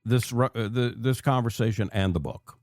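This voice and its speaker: An American man hosting a conversational radio interview in a deliberate, emphatic way, repeating phrases for effect. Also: mid-range voice, no echo. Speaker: radio show host.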